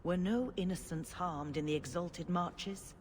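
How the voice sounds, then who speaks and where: A woman playing a solemn, cautious senior churchwoman in a fantasy video game, with formal, measured delivery. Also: on the low side, a little hiss or hum, natural voice.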